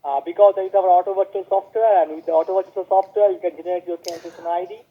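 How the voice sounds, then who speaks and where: A man cold-calling as a scam caller, pushing a scripted pitch and spelling letters out slowly over a phone line. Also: fairly even intonation. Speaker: scam caller posing as support.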